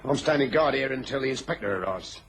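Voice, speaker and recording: An actor with an English accent playing a chatty police constable in a radio drama, deferential, colloquial and hesitant. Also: male voice, mid-range voice, animated, fast talker, gravelly, fairly bright tone. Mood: deferential, helpful. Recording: noisy old radio recording.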